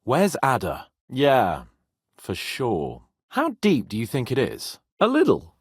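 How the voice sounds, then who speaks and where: Haughty young British nobleman, animated voice. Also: mid-range voice, slow, slightly rough, no room sound, clean studio sound, exaggerated voice. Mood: wry, casual. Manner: haughty, teasing, theatrical. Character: brash young nobleman, cocky, witty, haughty but comradely. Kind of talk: medieval video game voice acting.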